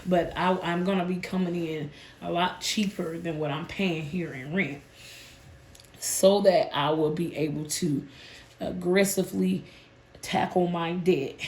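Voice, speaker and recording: A woman, hoarse and a bit nasal from a sinus illness, chatting casually with her viewers about her budget. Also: fairly low pitch, a little mellow, roomy sound. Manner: chatty, addresses viewers as "y'all". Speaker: budgeting channel host.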